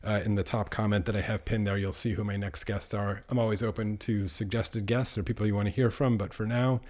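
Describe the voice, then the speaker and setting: Podcast host, a man with a lowish voice, easygoing and talkative. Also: flat delivery, a little fast, a little mellow. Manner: casual, chatty, with speeding-up asides. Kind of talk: podcast monologue and interview.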